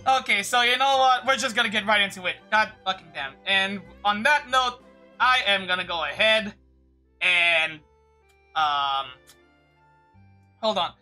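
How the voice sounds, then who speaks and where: A woman's voice: mid-range voice, a little slow, long pauses, sharp tone, faint music behind. A woman livestreaming a game with casual, rambling commentary, often stammering and swearing, on a streaming microphone.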